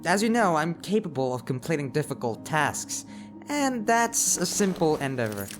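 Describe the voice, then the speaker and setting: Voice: medium pitch, very animated, normal speed, music in the background, lightly acted. A performer playing an earnest, naive, slightly indignant young sidekick with formal phrasing in an audio roleplay. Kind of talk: ASMR audio roleplay acting.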